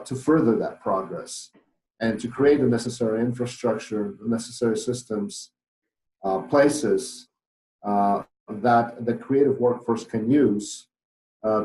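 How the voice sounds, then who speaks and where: A man's voice: fairly low pitch, darkish tone, roomy sound, slight background noise, rough recording. A middle-aged man with a Polish accent, a podcast guest who talks thoughtfully with many fillers and restarts. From Poland. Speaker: guest, business owner. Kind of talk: podcast interview.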